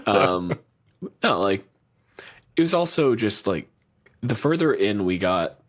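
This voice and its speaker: Podcast co-host and game master, male, lower voice, loose filler-heavy chatter. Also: sing-song, takes it slowly. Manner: rambling, filler-heavy, swears casually. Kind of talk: casual podcast chat.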